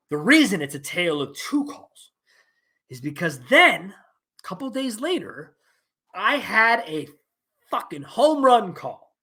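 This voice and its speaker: Blunt, high-voiced man ranting candidly. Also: fairly bright tone. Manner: blunt, candid, swears casually, emphatic asides. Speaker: podcast host. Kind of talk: solo podcast monologue. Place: his office.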